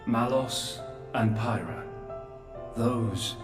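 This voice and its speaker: A male actor playing an ancient, remorseful creator in a video game, speaking gravely and solemnly in measured monologue. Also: mid-range voice. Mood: solemn explaining. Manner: grave, deliberate, measured delivery. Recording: echoey, distant, under loud music.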